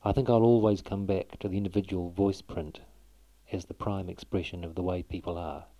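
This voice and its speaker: A man narrates a documentary in a measured, reflective way. His voice sits very low and has a dark, mellow tone. The sound is close and dry, with no room around it. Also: steady tone, no room sound, rough recording.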